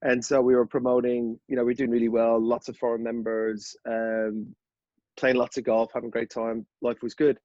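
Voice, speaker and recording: A man in his thirties with a Dublin Irish accent, a podcast guest telling his story in a relaxed, rambling way with many fillers and restarts. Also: medium pitch, normal speed, no room sound, muffled recording. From Ireland. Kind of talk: casual interview chat.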